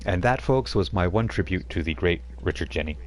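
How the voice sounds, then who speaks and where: A man's voice: low voice, animated, a little fast, a little hiss or hum, low-quality recording. A man running a tabletop roleplaying game as game master, telling the scene in a rambling, chuckling way with many fillers.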